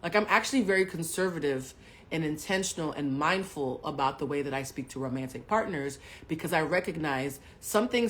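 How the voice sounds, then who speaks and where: A woman in her forties hosting a livestream, telling a personal story bluntly and with plenty of profanity, swinging between hushed asides and loud bursts. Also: sits low, a little hiss or hum. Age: 40s. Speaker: livestream host. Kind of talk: candid storytelling to a live audience.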